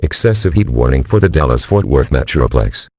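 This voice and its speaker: A man's voice: extremely low pitch, expressive, a little fast, very noisy. A male voice playing an emergency alert system announcer, reading a scripted warning in a formal, mechanical, solemn manner. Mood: impersonal, solemn.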